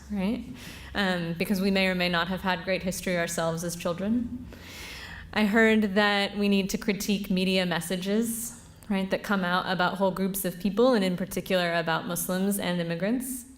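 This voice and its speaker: A woman moderating a panel discussion, who sums up what speakers said and affirms them. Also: mid-range voice. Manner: affirming, paraphrases speakers' points back.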